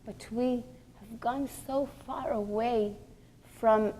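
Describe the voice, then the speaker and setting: High-pitched woman giving an earnest religious lecture. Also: steady tone, slow pace, mellow. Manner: earnest, didactic, asks rhetorical questions. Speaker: religious teacher, rabbanit. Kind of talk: religious lecture to a group of women.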